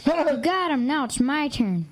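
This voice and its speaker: A woman playing a mischievous young child twin, excitable and playful, in a radio drama. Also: high voice, measured pace, over music. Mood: gleeful.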